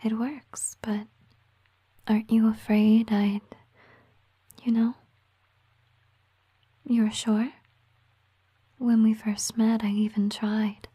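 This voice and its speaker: A tender mermaid girlfriend played by a woman whispers slowly and intimately in an ASMR roleplay. Her voice is breathy and very even, with a wistful, devoted softness, recorded close and dry. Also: on the high side, dry recording. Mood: tender, hesitant. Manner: slow, hushed, tender, hesitant. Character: gentle, wistful, devoted young female siren mermaid girlfriend.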